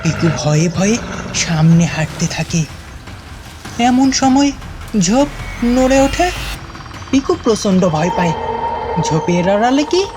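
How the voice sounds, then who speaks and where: A woman narrates a children's story with dramatic suspense. Her delivery is unhurried and rises and falls widely. Music sits behind her voice. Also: medium pitch, very animated.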